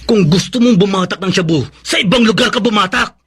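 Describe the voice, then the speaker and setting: A man's voice: high-pitched, brisk, fairly bright tone. A man with a Filipino accent playing a stern, angry husband in a radio drama, scolding and threatening. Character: angry, stern husband fed up with his addicted wife.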